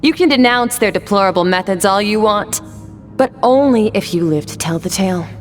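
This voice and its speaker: A woman playing a stoic, rational warrior mentor in a video game, composed, measured and detached. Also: mid-range voice, animated, big-room sound, soft background music, natural voice. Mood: stern, detached. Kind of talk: dramatic video game voice acting.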